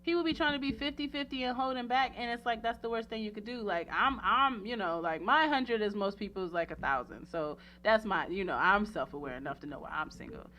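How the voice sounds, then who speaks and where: A woman hosting a casual radio talk show, chatting in a playful, animated, slangy and emphatic way. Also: mid-range voice, brisk, hardly any pauses, slight background noise. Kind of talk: casual chat.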